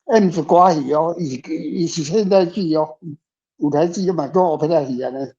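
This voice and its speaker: A man's voice: fairly high pitch, moderate pace, dark tone, slightly rough. A man with a Taiwanese accent answering casually as a guest author in a panel discussion, rambling and repeating his words. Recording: dull, lo-fi sound.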